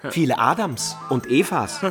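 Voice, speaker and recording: A male actor as a medieval wandering scholar who argues with eccentric zeal. The voice is mid-pitched and very lively, with pedantic, theatrical emphasis. It is a radio play with some music behind it. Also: unhurried, lightly acted. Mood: agitated, amused. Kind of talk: German radio play acting. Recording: studio radio drama over music.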